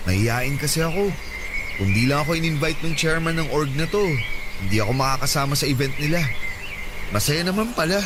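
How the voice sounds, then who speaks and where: An actor playing a shy, introverted young man who speaks softly and a little hesitantly. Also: a little high, expressive, fairly quick, background noise, lightly acted. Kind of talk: radio drama acting. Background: loud background music.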